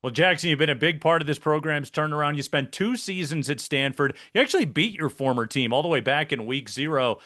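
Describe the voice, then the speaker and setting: A man hosts a sports interview, introducing his guest with upbeat, polished broadcaster energy. His voice is bright and crisp and sits a little high for a man. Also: brisk, hardly any pauses. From mainland United States. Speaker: sports show host. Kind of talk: interview hosting.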